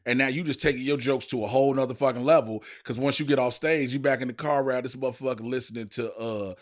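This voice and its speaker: A man co-hosting a casual podcast, telling stories in an animated way with profane slang. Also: medium pitch, fast. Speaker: podcast co-host and stand-up comedian.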